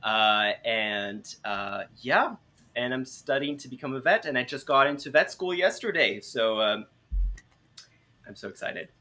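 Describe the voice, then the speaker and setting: Casting: young male guest, French accent, bright forward voice at medium pitch, casual chat. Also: expressive, a little slow. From France. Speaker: talk show guest.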